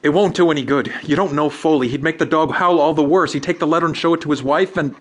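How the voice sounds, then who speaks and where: Actor as a nervous, fast-talking aggrieved man. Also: a little high, lightly acted. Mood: agitated. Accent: American. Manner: agitated, insistent, edgy. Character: nervous, obsessive, touchy man with a grievance. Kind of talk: radio drama acting.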